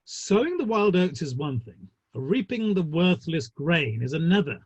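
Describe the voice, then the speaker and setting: Muffled online audio of a man acting a worldly Victorian gentleman in a dramatic reading. The voice is fairly high and swings widely in pitch. The delivery is arch, formal and drily witty, relishing the gossip. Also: big pitch swings, a little slow, slightly rough, no echo, heavily acted. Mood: gossipy, arch. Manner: arch, dry wit, formal phrasing.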